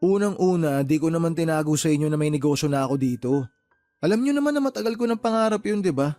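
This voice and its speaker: Man acting a higher-voiced, exasperated Filipino younger brother. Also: animated, fast, mellow, faint music behind, natural voice. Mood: defensive. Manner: defensive, exasperated. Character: weary, exasperated younger brother working in the city. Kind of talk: Tagalog radio-drama phone dialogue.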